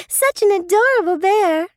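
A woman voicing a sweet, caring, gentle little girl in a children's storybook. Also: extremely high pitch, expressive, slow, no echo, clean studio sound, exaggerated voice. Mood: caring, delighted.

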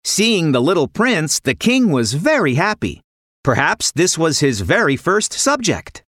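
A woman narrates a children's story with lively, varied intonation. Her delivery is expressive and scripted, in a close, dry recording. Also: low-pitched, a little slow, no echo.

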